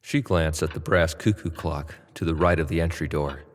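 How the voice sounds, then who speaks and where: Very deep-voiced male narrator. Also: normal speed, slight background noise. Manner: low steady narration, pushier and louder in Nate's lines. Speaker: narrator and actor playing Nate. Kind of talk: audio drama narration and acting.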